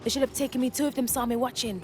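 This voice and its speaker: An actress playing a frightened, wary woman held in a camp, speaking haltingly in a video game. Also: a little high, steady tone, a little mellow, slight background noise, close to an everyday voice. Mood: fearful, wary. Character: frightened female camp detainee who witnessed an abduction. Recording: music behind.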